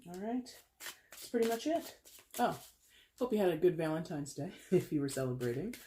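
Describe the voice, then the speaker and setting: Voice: medium pitch, sing-song, normal speed, warm tone, slight background noise. A tarot reader with a head cold, congested and with a dry throat, chatting casually to camera with rambling self-corrections. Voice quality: dry throat, clears it often.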